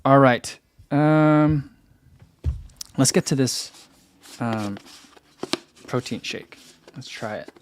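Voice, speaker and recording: A man's voice: mid-range voice, very slow, pauses often, slight background noise. A young man with a soothing voice hosting a casual running live stream from home, chatting and reading comments aloud into a desk microphone. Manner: relaxed, chatty, laughs easily, many fillers. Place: home room. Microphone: desk microphone by computer.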